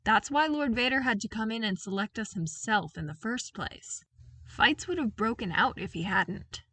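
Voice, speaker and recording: Woman as a calm, respectful male commander. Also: on the high side, slightly rough, dry recording, far from the mic, pitched above the performer's own voice, exaggerated voice. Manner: measured, gentle, formal.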